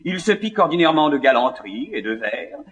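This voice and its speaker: An actor playing a vain, scornful young gentleman in a classical French stage comedy, declaiming with indignation. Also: fairly high pitch, sing-song, a little fast, muffled recording. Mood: sly, mocking.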